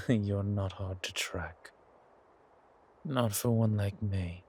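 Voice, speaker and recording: A man playing a suave, centuries-old vampire in a horror ASMR roleplay, languid and genteel with quiet menace and a husky, breathy voice. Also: fairly low pitch, takes it slowly. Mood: quietly menacing.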